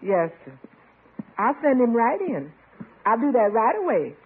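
A performer playing a lively, outspoken housemaid in an old radio comedy, brisk and familiar in manner. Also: medium pitch, husky, exaggerated voice. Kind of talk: 1940s-50s radio comedy acting. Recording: muffled vintage radio broadcast recording.

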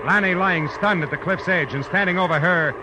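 An actor playing a tough, wry private detective in a vintage radio drama, dry and terse. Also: male voice, high voice, brisk, background noise, lightly acted. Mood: tense, dry. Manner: hard-boiled, dry, terse. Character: tough, wry male private detective narrating his case. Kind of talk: 1940s radio drama acting and narration.

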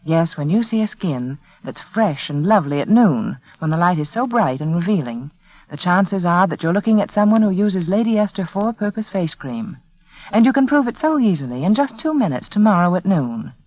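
A woman's voice: fairly low pitch, darkish tone, no echo. A woman hostess delivering a scripted 1940s radio commercial in a polished, persuasive way, on a muffled old recording. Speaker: radio show hostess and sponsor spokeswoman.

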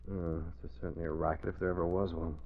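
An actor playing a determined, level-headed boss who explains things with measured authority, in 1940s radio drama style. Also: male voice, sits low, little pitch movement, a little hiss or hum. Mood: resolute. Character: level-headed, determined male boss, secret crime fighter. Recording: tinny, narrow old radio recording.

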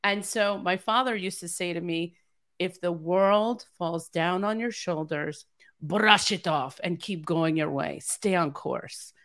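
A middle-aged woman with a fairly low voice speaks as a guest coach on a podcast. She tells personal stories in an animated, conversational way and slips into quoted dialogue as she goes. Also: unhurried.

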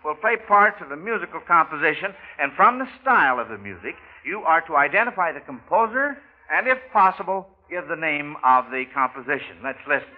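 High-voiced American male quiz host, chatty and encouraging, 1940s radio style, narrow vintage broadcast sound. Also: lively intonation, a little fast. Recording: old narrow-band radio recording.

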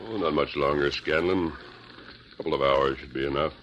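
An actor playing a calm, commanding masked male frontier hero who speaks firmly and reassuringly in a vintage radio drama. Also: rumbling low, brisk, muffled recording, slight background noise. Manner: firm, reassuring. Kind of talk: 1940s radio acting.

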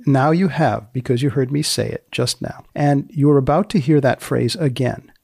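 A man with a gravelly, creaky voice hosts a podcast. He speaks deliberately and drily, pausing for effect, in a close, dry recording. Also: mid-range voice, a little mellow, dry recording. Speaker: podcast host, magazine editor. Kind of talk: scripted podcast narration and questioning.

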